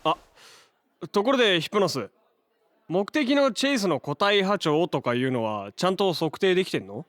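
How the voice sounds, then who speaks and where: A man playing a hot-headed, flustered young hero with a soft heart in a Japanese superhero audio drama, brash and slangy in delivery. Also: high-pitched, very animated, normal speed, dry recording. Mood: relaxed. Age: young adult.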